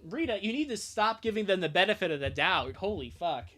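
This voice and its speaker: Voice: mid-range voice, very animated, a little fast, a bit bright. Casual, sarcastic streamer riffing on comics. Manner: sarcastic, jokey, swearing. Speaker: streamer and dub performer. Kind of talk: casual live-stream commentary on a fan comic.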